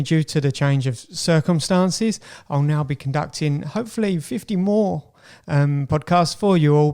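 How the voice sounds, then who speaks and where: A man's voice: fairly high pitch, creaky, mellow. A man hosting a podcast, introducing the episode and talking to his guest in a conversational way. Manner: conversational, with fillers and restarts. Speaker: podcast host.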